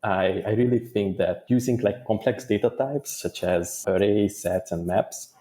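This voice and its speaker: A man gives interview answers in a fairly low voice. He speaks in a thoughtful, measured way and sometimes restarts a phrase while he finds his words. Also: warm tone. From Hungary. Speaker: guest data engineer.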